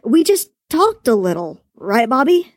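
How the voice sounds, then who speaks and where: A woman's voice: fairly high pitch, big pitch swings, a little slow, no echo, studio quality, pitched above the performer's own voice. A woman voicing a young male dragon, defensive and wheedling. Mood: pleading. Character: young adolescent male dragon, sulky and pleading. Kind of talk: audiobook character voice.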